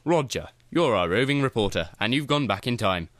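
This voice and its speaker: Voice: mid-range voice, animated, a little fast, fairly bright tone, no echo, natural voice. A performer playing a pompous, boring historian narrating a radio play.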